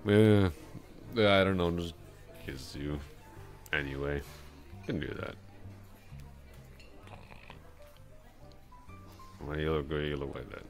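A man playing a drunk, lovestruck coworker in an intimate ASMR roleplay, rambling bashfully, halting, repeating himself and putting himself down. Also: extremely low pitch, fairly even intonation, faint music behind. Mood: dejected, embarrassed.

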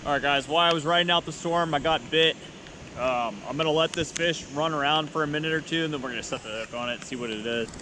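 A man's voice: on the high side, fast talker. A man in his thirties vlogging while fishing on a riverbank below a dam, talking matter-of-factly to a body-worn action camera. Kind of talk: casual outdoor vlog narration. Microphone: action camera, chest or head mounted. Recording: outdoor noise, wind and water. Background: wind and water.

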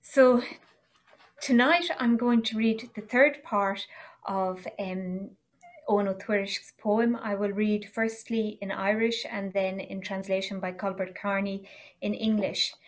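Calm woman introducing a poetry reading. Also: medium pitch, slow. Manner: calm and explanatory, with occasional "um". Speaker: reader introducing a poem. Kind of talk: explanatory introduction before a reading.

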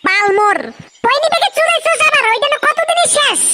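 A performer with a pitched-up voice filter plays a boastful, crude cartoon village lad. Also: lively intonation, fairly quick, very noisy. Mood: grumpy. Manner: crude, bragging, swearing. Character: cartoon village lad, boastful, foul-mouthed coin-obsessed buddy. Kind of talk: comic cartoon banter.

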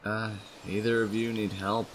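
A man's voice: fairly low pitch, level tone, normal speed, over music, natural voice. A man voicing a serious, grumpy male snake in a comic dub, with a dry, dramatic, stern manner. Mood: dry, puzzled. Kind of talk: comic dub character acting.

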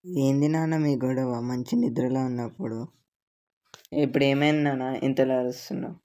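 Lazy lad for a storybook tale, played by a man, easygoing, average male pitch. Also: moderate pace, warm tone, natural voice. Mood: grumbling. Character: lazy, easygoing young son and grandson. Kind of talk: children's story voice acting.

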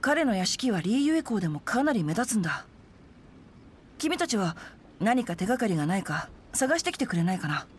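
A woman's voice: medium pitch, big pitch swings, fairly quick, slight background noise, close to an everyday voice. A woman voicing a refined, chivalrous teenage boy swordsman in a Japanese game dub, polite and composed. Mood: composed, earnest. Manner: polite, composed, literary phrasing. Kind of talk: Japanese anime-style game dub acting.

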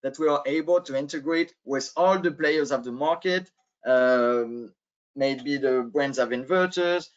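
A man's voice: mid-range voice, a little slow. A man in his thirties with a French accent, giving a webinar presentation on a video call through a headset, with many filler sounds. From France. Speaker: guest speaker, sales manager. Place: indoor office, video call. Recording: dull lo-fi video call audio.

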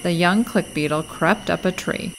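A woman reading a storybook aloud to young children in an expressive, deliberate way. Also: lowish voice, heavy background noise. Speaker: storybook reader. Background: music and sound effects.

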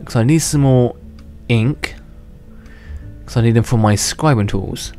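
A man's voice: medium pitch, unhurried, a little mellow. A young man giving casual, rambling commentary while playing a game, restarting his sentences and chuckling to himself, his voice a little worn from being unwell. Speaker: gaming channel host. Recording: faint background music under voice.

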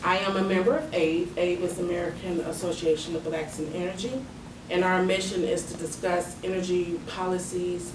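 A woman in her thirties from Detroit giving a prepared speech at a podium in a meeting room, with measured delivery and dry humor. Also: on the low side, flat delivery. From Detroit, Michigan. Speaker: club speaker giving an icebreaker speech. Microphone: far camera. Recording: roomy and distant with noise.

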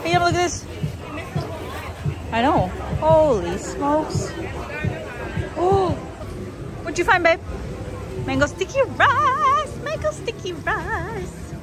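A woman's voice: very high voice, sing-song, slow, long pauses. A woman chatting casually as she hosts a vlog on a handheld camera. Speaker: vlog host. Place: busy outdoor night market and restaurant. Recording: background music and crowd bustle. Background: music, crowd chatter.

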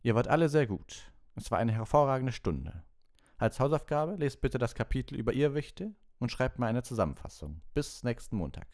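A man voicing an encouraging, brisk male wizard schoolteacher in a storybook, projecting in a lively, commanding yet kindly way. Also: medium pitch, pitched above the performer's own voice. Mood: pleased, praising.

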